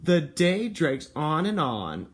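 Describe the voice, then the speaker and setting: A man speaking as a kindergarten teacher, reading and explaining to young children in an expressive, encouraging way with simple phrasing. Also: on the high side, slow. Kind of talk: picture book read-aloud and talk to children and parents.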